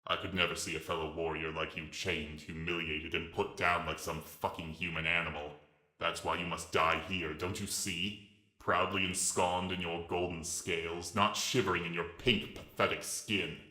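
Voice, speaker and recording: A man voicing a huge old scaly pterosaur monster in a dramatic reading, raspy and ragged, with slow, mocking, grandiose menace. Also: deep voice, flat delivery, bright, forceful tone, echoey room. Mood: somber, menacing. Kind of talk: dramatic reading of fan fiction.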